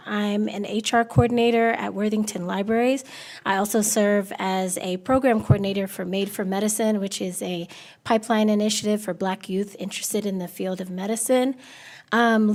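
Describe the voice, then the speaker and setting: A woman's voice: mid-range voice, a little mellow. A woman giving a short, structured speech to introduce herself.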